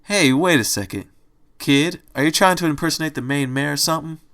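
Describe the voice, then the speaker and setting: A man voicing a gruff, bossy streetwise bunny in a storybook, with an Italian accent and brash slangy talk. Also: on the high side, brisk, a bit bright, voice pushed up. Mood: suspicious. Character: gruff bossy streetwise male bunny, tough-guy temper.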